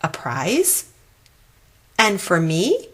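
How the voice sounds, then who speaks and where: A woman's voice: on the low side, wildly swooping pitch, drawn-out words, fairly bright tone, breathy edge, heavily acted. A woman voicing a small, timid, earnest child in a children's storybook. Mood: surprised.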